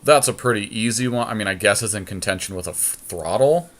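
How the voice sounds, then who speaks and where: A man's voice: on the low side, fast talker, a bit bright. A man co-hosting a podcast, chatting casually and thinking aloud as he weighs choices in a card game draft. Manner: thinks aloud, hedging and deliberating. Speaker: podcast co-host.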